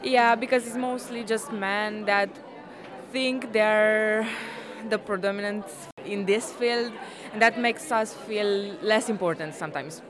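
A woman's voice: medium pitch, fairly even intonation, a little slow. A woman from Romania, an English speaker, giving casual answers in an interview. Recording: crowd chatter behind the voice. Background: crowd chatter.

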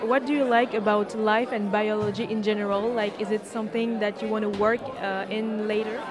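A woman's voice: medium pitch, level tone, brisk. Woman interviewer in a noisy crowd. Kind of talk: casual interview. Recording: crowd noise behind. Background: crowd chatter.

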